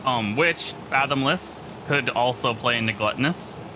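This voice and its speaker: A man's voice: mid-range voice, moderate pace, background noise. A man co-streaming a game, chatting informally and thinking aloud as he explains things. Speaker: guest co-streamer. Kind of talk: casual chat during a game stream.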